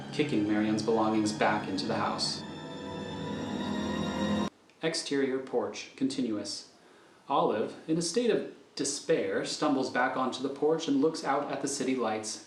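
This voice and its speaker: A man's voice: medium pitch, moderate pace. A man reading screenplay stage directions as the narrator of an audio thriller. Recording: roomy echo with music underneath.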